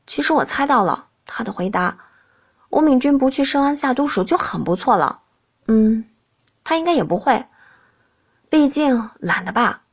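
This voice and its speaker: High-voiced woman narrating an audiobook. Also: lively intonation, moderate pace, pauses often, slightly rough. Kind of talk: scripted first-person story narration.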